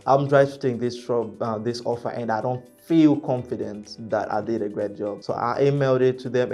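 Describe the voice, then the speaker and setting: A real estate agent vlogging to camera in English, which is not his first language. He is reserved and soft-spoken and speaks haltingly, with many fillers and self-corrections. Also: mid-range voice. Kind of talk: casual talking-to-camera vlog.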